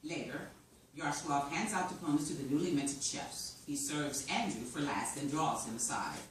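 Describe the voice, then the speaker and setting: Female screenplay narrator, very low register, live reading with strong room echo. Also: moderate pace. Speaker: stage-direction reader at screenplay reading. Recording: echoey room, distant mic, faint noise.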